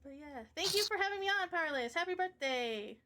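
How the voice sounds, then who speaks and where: A woman's voice: very high voice, animated, brisk, bright, forceful tone. A woman streamer and game developer chatting casually with her audience in an informal, slangy way. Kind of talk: casual livestream chat.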